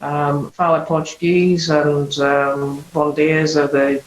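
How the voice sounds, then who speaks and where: A woman's voice: sits low, flat delivery. Yorkshire-accented woman, roomy and hissy. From Bradford, England. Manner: wry, self-deprecating, measured. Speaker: guest, international investigator. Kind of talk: casual podcast interview. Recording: echoey room with faint hiss.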